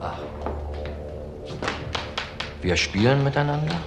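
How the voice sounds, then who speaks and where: An actor playing a cold-blooded ex-convict widower in a crime drama, defiant, blunt and self-assured. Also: male voice, medium pitch, big pitch swings, slow pace, over music. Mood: coolly taunting. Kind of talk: German TV crime drama acting. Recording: faint background noise.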